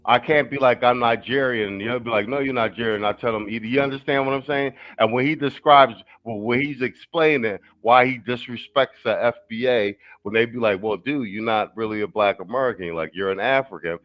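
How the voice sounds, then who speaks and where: A man's voice: medium pitch, fairly quick, few pauses, dull audio. A man hosting a casual stream, talking loosely and profanely with lots of restarts. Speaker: stream host. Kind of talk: casual talk-show chat.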